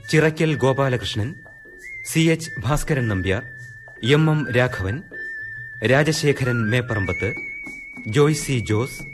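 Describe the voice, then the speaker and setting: A man with a Malayali accent reading scripted radio credits as a formal, dramatic announcer. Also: mid-range voice. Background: instrumental music.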